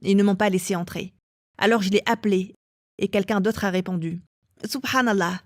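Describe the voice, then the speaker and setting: A woman telling her own story as an interviewee, in a calm and measured way. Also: mid-range voice, no room sound, studio quality. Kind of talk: personal testimony.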